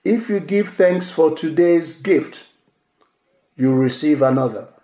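A male pastor whose first language is Yoruba preaches a sermon in a measured way, repeating key phrases for emphasis. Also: on the high side, mellow. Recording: echoey room.

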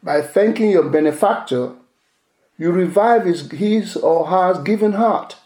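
A male pastor whose first language is Yoruba preaches a sermon in a measured way, repeating key phrases for emphasis. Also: high voice, a little mellow. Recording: echoey room.

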